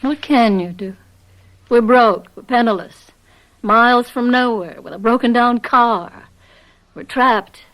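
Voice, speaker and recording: An actress playing a young, resigned farm wife in a radio drama, wary and pleading, with a hesitant, placating manner. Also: female voice, a little high, unhurried, fairly bright tone, poor audio.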